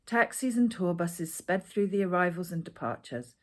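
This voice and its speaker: Woman, slightly low pitch, scripted first-person essay narration, author's own reading. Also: lively intonation, normal speed. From Edinburgh, Scotland.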